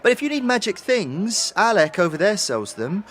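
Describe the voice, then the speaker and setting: Man as a nervous, chatty girl, wildly swooping pitch. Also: very animated, normal speed, fairly bright tone. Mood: eager, rambling. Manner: stammering, rambling, nervous. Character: shy, lonely, chatty, eager young female market girl. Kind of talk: acted fantasy audio drama.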